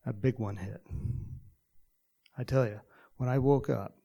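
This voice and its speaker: A man's voice: mid-range voice, a little slow, creaky, warm tone. A man in his sixties from Texas with a slight Texan accent, preaching in a casual, rambling storytelling way with many fillers. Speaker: pastor.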